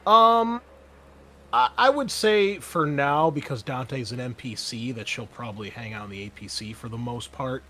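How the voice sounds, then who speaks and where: A man running a tabletop roleplaying game, speaking informally in halting, hesitant phrases as he sets scenes and guides players. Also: mid-range voice, bright, forceful tone, a little hiss or hum. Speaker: game master.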